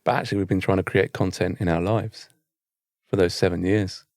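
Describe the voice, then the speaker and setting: A man's voice: sits low, little pitch movement, fairly quick, creaky, a little mellow, no echo, clean studio sound. A man with a British accent, talking in a wry, conversational way as a co-host on a casual podcast. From Britain.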